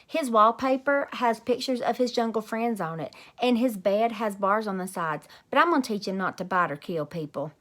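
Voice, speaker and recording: A woman voicing a bossy, excitable kindergarten girl in a storybook, emphatic and childishly worded. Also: fairly high pitch, normal speed, few pauses, close to an everyday voice. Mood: eager. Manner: childish grammar, emphatic, blurting.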